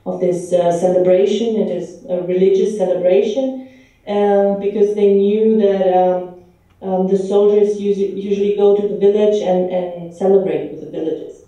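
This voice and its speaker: A woman who grew up in Romania speaks slowly and haltingly, filling her pauses with ums. Her voice is very dark and mellow. She sounds far from the microphone in an echoey pub room, and the sound is dull. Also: medium pitch, steady tone. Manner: hesitant, many ums and restarts. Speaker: film director. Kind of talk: interview answers.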